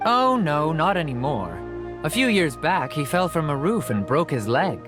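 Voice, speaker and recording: A woman's voice: sits low, very animated, moderate pace, over music. A woman voicing a friendly, chatty potter who runs a stall in a video game. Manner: warm sales talk, chatty.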